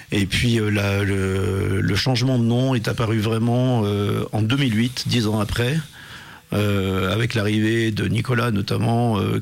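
A man, a band's guitarist, answering in a radio studio interview, halting with many fillers. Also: lowish voice, vocal fry. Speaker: band guitarist, guest.

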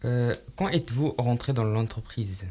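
A man's voice: medium pitch, normal speed, warm tone. A man interviewer on a local program, asking short and plain questions. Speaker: radio interviewer.

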